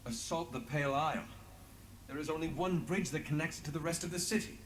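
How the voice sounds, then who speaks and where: An actor playing an earnest, idealistic investigator in a fantasy game, speaking deliberately. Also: male voice, fairly high pitch, reverberant, a little hiss or hum, lightly acted. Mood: questioning. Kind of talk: dramatic fantasy game voice acting.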